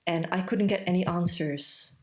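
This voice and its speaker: Low-voiced Belgian woman in her forties. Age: 40s. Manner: reflective, thoughtful, pausing often with "um". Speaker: podcast guest. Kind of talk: casual interview conversation.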